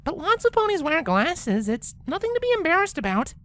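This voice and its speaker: A woman's voice: extremely high pitch, very animated, a little fast, a bit bright, exaggerated voice. A woman voicing a polite, bookish young female cartoon pony princess, speaking with patient, pedantic precision. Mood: apologetic, gentle. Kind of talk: character acting in a fan-fiction story reading.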